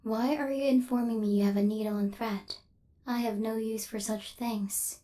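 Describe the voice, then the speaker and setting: A high-pitched female killer robot, acted by a woman for an ASMR roleplay. She is cold and superior, phrasing everything in stiff, clinical terms, with a fluster she keeps under wraps. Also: fairly even intonation, normal speed, dark tone, lightly acted. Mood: flustered, softening.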